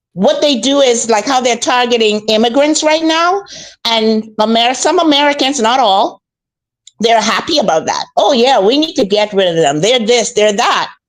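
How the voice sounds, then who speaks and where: A woman from the Bahamas, talking casually and calmly about faith, a little preachy and repeating her points. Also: a little high, rough recording. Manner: calm, preachy, repeats herself. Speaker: guest commentator. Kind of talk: casual faith-based chat.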